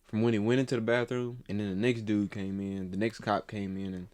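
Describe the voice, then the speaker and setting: A man's voice: fairly low pitch, level tone, brisk, darkish tone, no room sound. A man in his twenties hosting a casual podcast chat, rambling and swearing freely with lots of fillers and restarts. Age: 20s. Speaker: podcast host.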